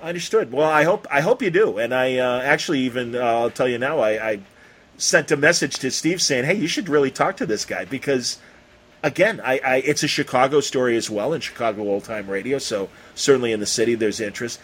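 A man hosting a casual podcast interview, talking in an effusive, rambling, halting way with some mild swearing. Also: medium pitch, expressive, fairly bright tone, husky. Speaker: podcast host interviewing a guest.

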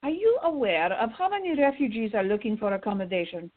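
Woman acting scolding older lady, husky, highish pitch, bossy, exasperated, radio theater, muffled sound. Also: lively intonation, fairly quick. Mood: urging, indignant.

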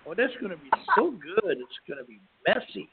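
A man hosting a casual radio chat, talking in a halting, rambling, self-deprecating way, with phone-like sound. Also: sits high, sing-song. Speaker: radio show host. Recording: phone-like, band-limited web radio audio.

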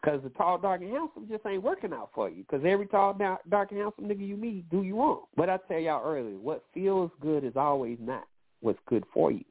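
A man's voice: high voice, lively intonation, fairly quick. A man hosting a call-in radio show, giving loose, profane relationship advice, a little nasal and wheezy from allergies. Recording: phone-like lo-fi line.

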